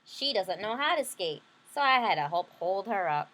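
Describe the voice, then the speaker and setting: A woman's voice: medium pitch, very animated, normal speed, pushed and bright, poor audio. A woman playing a young critter boy, a patient but grudging big brother telling his storybook tale. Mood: put-upon.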